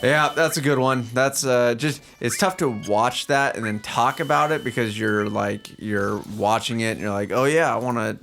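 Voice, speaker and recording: A man's voice: medium pitch, moderate pace, creaky, few pauses, a bit bright, faint music behind. A man co-hosting a podcast, giving casual conversational commentary on video games. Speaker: podcast co-host.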